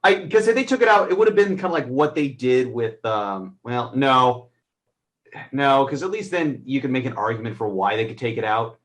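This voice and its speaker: A man's voice: medium pitch, moderate pace, a bit bright. A man giving his take in a casual movie review, rambling and circling back to rephrase. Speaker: film review panelist.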